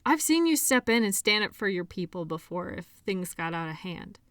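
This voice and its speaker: A woman playing a caring, shy doctor in an intimate audio roleplay, talking softly and hesitantly in a conversational way. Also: medium pitch, big pitch swings, moderate pace, clear, resonant tone, no room sound. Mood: sincere, admiring. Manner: soft, hesitant, giggly, conversational.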